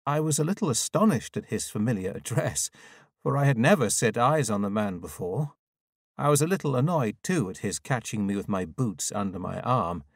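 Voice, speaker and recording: A man's voice: lowish voice, animated, normal speed, breathy edge, no echo, studio quality. A man with an English accent reading a first-person story as an audiobook narrator.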